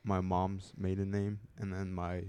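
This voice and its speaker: A man's voice: sits low, completely flat delivery, unhurried, mellow, clean tone, no echo. A man from Chicago, a guest on a casual podcast, easygoing and joking. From Chicago, Illinois.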